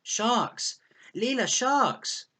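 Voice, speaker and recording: A man acts out a little boy in an adventure storybook. He is clingy, excitable and insistent, with a shrill, forceful voice thrown far up in pitch. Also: very slow, heavily acted. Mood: terrified.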